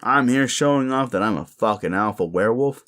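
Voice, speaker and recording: A man's voice: medium pitch, lively intonation, fairly quick, fairly bright tone, lightly acted. A man playing a sleazy, bullying werewolf office boss in an audio roleplay, condescending, cajoling and foul-mouthed as he berates the listener. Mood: boastful, indignant. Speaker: voice actor performing a roleplay.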